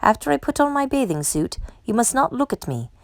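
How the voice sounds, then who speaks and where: A woman's voice: on the high side, big pitch swings, fairly quick, gravelly, no echo, studio quality, pitched above the performer's own voice. A woman voicing a fussy, self-conscious, gloomy male toad in a children's storybook, with an emphatic, plaintive manner.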